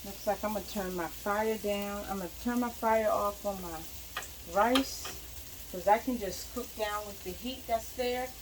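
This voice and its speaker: A woman's voice: mid-range voice, measured pace, background noise. A young woman, a cooking vlogger, chatting casually as she fries food in her home kitchen and moves around the stove.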